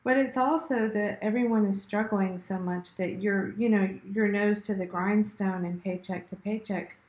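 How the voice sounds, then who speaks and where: A woman's voice: medium pitch, fairly even intonation, moderate pace, a little mellow, roomy sound. A woman hosting an interview over a video call, conversational and affirming with frequent "mm-hmm"s. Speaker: interview host.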